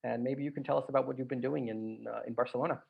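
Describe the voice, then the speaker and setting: A man from Croatia, hosting a casual interview podcast in a relaxed, chatty way. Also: mid-range voice, racing, vocal fry, husky. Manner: relaxed, chatty, with restarts and filler. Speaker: podcast host. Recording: lo-fi podcast audio.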